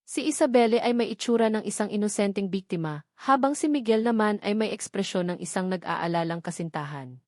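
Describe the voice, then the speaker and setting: A woman delivers scripted dramatic story narration. Her pitch sits in the middle for a female voice. The recording is very dry, without room echo. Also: expressive, brisk, a little mellow.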